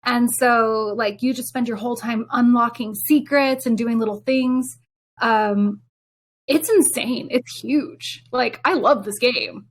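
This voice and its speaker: A woman's voice: on the high side, takes it slowly. A woman chatting casually about her hobby as a podcast panelist, informal and enthusiastic with dramatic exaggeration. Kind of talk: casual, animated gaming chat.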